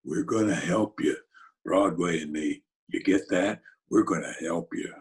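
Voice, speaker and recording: Man acting a deep, slow, husky gangster. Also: heavily acted. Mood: urgent, insistent. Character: Dave the Dude, tough but helpful New York gangster. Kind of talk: old-time radio drama acting. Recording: slightly rough remote video-call audio.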